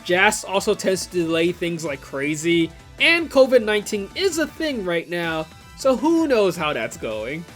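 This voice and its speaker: Lively, informal video commentator of mid-range pitch. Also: unhurried, few pauses, faint music behind. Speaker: video creator reviewing visual novels.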